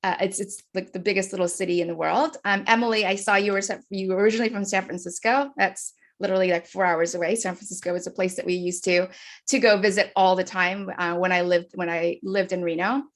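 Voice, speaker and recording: A woman from the Philippines shares her personal story in an earnest talk given over a video call. Her voice sits at a middle pitch with a noticeable vocal fry running through it. She speaks with frequent fillers and restarts as she gathers her thoughts. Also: steady tone, quick pace.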